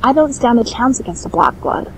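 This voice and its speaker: A woman playing an anxious, earnest nurse held captive, gentle but defiant, in an audio fan fiction. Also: fairly high pitch, big pitch swings, a little fast, a little hiss or hum, low-quality recording. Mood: resigned, comforting.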